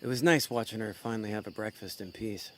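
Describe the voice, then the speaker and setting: A man's voice: lowish voice, fast talker, lightly acted. An actor playing a laid-back, streetwise con man in a noir audio thriller, wry, informal and suspicious. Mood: wry, content.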